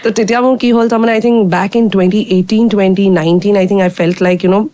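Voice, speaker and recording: Fast-talking husky woman. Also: steady tone, warm tone, dry recording. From Guwahati, Assam, India. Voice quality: husky with a low baritone edge. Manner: rapid and chatty, leaning on "like" and "you know". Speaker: independent singer and co-host. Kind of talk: casual conversation.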